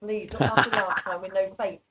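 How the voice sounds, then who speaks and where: A woman's voice: mid-range voice, fairly even intonation, brisk, slight background noise. A woman with a mixed English and Norwegian accent giving informal live psychic readings in a chatty, direct, reassuring way. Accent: mixed English with Norwegian influence. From born in England, grew up largely in Norway. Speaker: psychic card reader, guest presenter. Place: online video call. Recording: muffled, soft online microphone.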